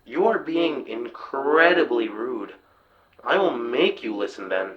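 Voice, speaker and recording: A man's voice: mid-range voice, animated, unhurried, lo-fi sound, crowd noise, natural voice. A man playing a boastful, self-important ghost in an audio drama, petulant and menacing. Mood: offended menace. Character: self-important ghost boy bragging of deadly superpowers.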